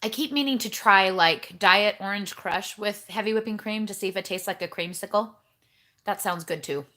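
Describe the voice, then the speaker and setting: A chatty woman hosts a casual livestream from her home and greets viewers one by one. Her voice is bright and forceful, at a middle pitch, and she rambles in a friendly, bubbly way. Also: normal speed. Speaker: livestream host. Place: home kitchen.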